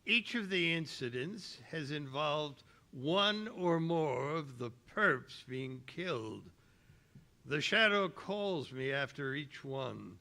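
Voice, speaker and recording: A man playing a worried, authoritative police commissioner in a 1930s radio drama, earnest and halting. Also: medium pitch, sharp tone, heavily acted.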